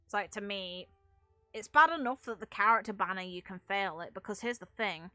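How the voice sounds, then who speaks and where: Bright-voiced woman ranting casually over music. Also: mid-range voice, fairly quick, no echo. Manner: blunt, emphatic, sweary. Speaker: game streamer and video creator. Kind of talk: casual gaming commentary, opinionated rant.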